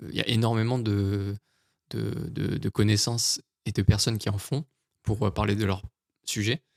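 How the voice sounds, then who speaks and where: A man's voice: fairly low pitch, level tone, moderate pace, vocal fry, dry recording. Young man hosting a podcast, dry sound. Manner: friendly, stammering, many fillers. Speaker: podcast host. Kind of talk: casual interview chat.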